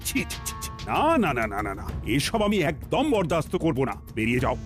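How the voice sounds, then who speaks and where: A man's voice: on the high side, very animated, normal speed, over music. A man playing a stern, authoritative king in a cartoon, speaking in an emphatic, commanding manner. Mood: stern scolding. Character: stern, authoritative king scolding his court. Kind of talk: animated cartoon dialogue.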